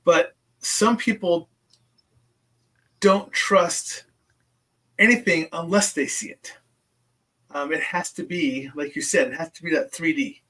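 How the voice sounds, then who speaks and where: A man hosts a relaxed interview, his voice sitting fairly high for a man. He talks hesitantly, circling back with restarts and ums. He sounds a little distant from the microphone. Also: takes it slowly, pauses often. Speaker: podcast host. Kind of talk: casual interview chat.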